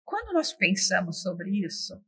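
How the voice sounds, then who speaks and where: A middle-aged woman giving a passionate, expressive lecture from a lectern in a large auditorium, often restarting her phrases. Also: mid-range voice, a little slow. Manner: enthusiastic, expressive, with frequent restarts. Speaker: opening speaker at a spiritist congress. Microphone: lectern microphone.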